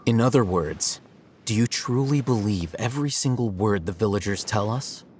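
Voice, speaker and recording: A man voicing a calm, aloof young male scholar in a video game, measured and dry. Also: fairly low pitch, a little hiss or hum, lightly acted. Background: music.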